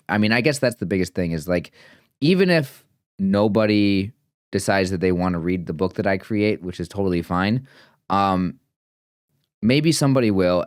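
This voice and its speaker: A man's voice: deep voice, smooth, no room sound, clean studio sound. A man of twenty-nine talking casually and earnestly to camera in a vlog, rambling with fillers and restarts. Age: 20s. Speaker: vlogger. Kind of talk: casual reflective vlog talk.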